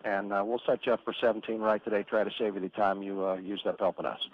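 A man working as an air traffic controller, giving brisk, procedural instructions in radio phraseology with filler pauses. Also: fairly low pitch, little pitch movement. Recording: muffled radio transmission with hiss.

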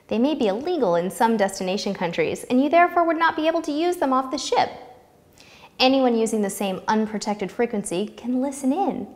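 A woman's voice: a little high, fairly quick. American woman presenter, reverberant sound. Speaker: host of a travel tips video series. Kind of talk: scripted presenting to camera. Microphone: lapel mic.

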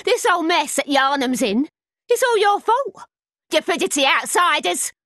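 A woman's voice: very high voice, sing-song, normal speed, fairly bright tone, dry recording, studio quality, full character voice. An actress playing a bitter, suspicious, half-mad old townswoman in a gothic video game, with a British accent and a scornful, muttering manner. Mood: scornful, scolding. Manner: scornful, muttering and scolding by turns.

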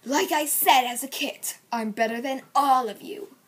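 A woman's voice: sits high, unhurried, breathy edge. A woman voicing an arrogant adolescent male apprentice warrior cat, boastful and scornful. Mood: arrogant, defiant.

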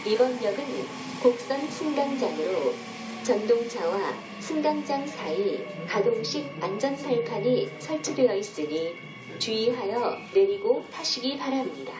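A woman giving a formal, measured, courteous scripted subway announcement. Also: animated, over music. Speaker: train announcer. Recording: echoey, loud train rumble. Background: moving train noise.

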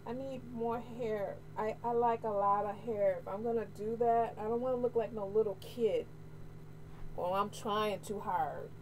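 A middle-aged American woman chatting casually to camera, candid and expressive, repeating phrases for emphasis. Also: medium pitch, moderate pace. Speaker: hair vlogger. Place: small room at home, indoors. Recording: noisy home webcam audio.

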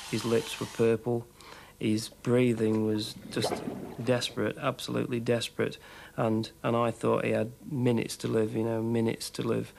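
A man in his thirties recalling events in an interview, as a cave diver. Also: mid-range voice. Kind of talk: interview recollection. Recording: clear background noise.

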